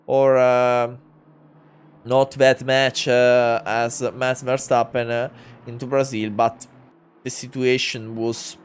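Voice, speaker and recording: A man's voice: a little high, clear, resonant tone. A man in his twenties with an Italian accent, commentating a sim race in English, hesitant, with many fillers and restarts. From Italy. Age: 20s. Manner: gets louder and more excited in close battles.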